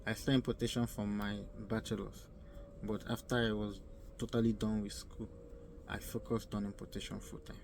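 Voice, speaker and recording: A young man from Nigeria with a Nigerian accent giving earnest advice in a casual vlog, often repeating himself and pausing to search for words. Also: lowish voice, little pitch movement, gravelly, darkish tone. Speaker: vlogger. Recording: faint ambient noise.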